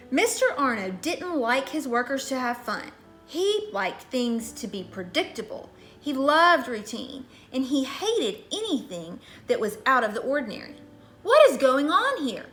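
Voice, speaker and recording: A woman's voice: sits high, big pitch swings, measured pace, a bit bright, reverberant, faint music behind. A woman reading a children's storybook aloud in a scripted narration style. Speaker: story reader.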